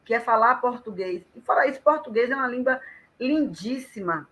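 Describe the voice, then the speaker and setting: A woman guest on a live chat, talking lively and emphatically as she gives advice. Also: a little high, a little fast, low-quality recording. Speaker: guest storyteller and author.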